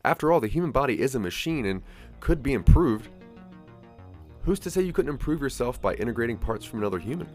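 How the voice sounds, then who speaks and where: A man's voice: medium pitch, very animated, fast, a little mellow, soft background music. A man giving a scripted second-person narration for an audio drama.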